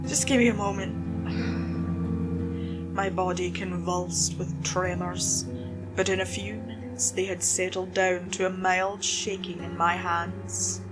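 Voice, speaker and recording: Woman reading creepypasta, real slow and dramatic, spooky host vibe, music playing behind her. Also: fairly low pitch, level tone. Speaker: horror story narrator and channel host. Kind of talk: theatrical, scripted horror narration.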